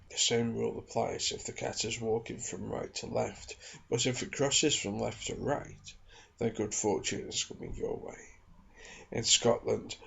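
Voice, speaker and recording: A man with a low, gravelly voice reads a book aloud for ASMR. His delivery is soft, careful and measured, with plenty of creak in it. The recording sounds a little dull and muffled. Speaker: ASMR creator reading aloud. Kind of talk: ASMR reading of a non-fiction book.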